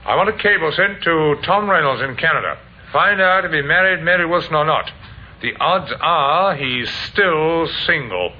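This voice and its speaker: An actor playing a courteous, probing British police inspector who muses and hesitates, in an old-time radio crime drama. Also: male voice, a little high, animated, lightly acted. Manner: hesitant, musing, full of uhs and pauses. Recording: tinny, noisy old radio recording.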